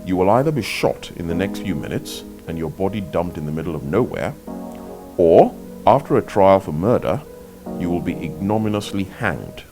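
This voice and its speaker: A man voicing an unseen abductor in a novel, formal, measured and coldly threatening. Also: deep voice, very animated, music in the background.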